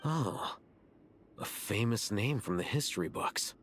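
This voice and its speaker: A man playing a cool, analytical young scholar in a video game, measured and deadpan. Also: fairly low pitch, slight background noise. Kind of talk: English video game voice acting.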